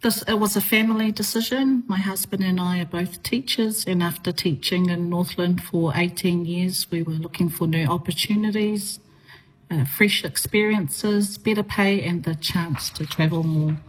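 A middle-aged woman from New Zealand, a teacher, answering radio interview questions in a measured way with frequent ums and uhs. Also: fairly low pitch, fairly even intonation, poor audio.

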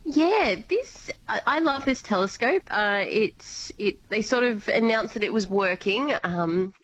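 A woman's voice: fairly high pitch, expressive, unhurried, fairly bright tone, poor audio. A woman explaining science in a bubbly, laughing radio chat.

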